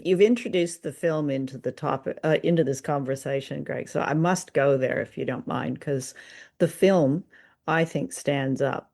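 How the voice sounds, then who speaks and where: A woman's voice: low-pitched, vocal fry, a little mellow. Enthusiastic woman co-hosting a review chat. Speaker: podcast co-host reviewing radio dramas.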